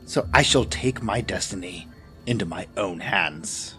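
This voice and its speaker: A man voicing a brooding Imperial Inquisitor in an audio drama trailer, speaking with solemn, measured resolve. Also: deep voice. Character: brooding male Imperial Inquisitor, weary of control. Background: music.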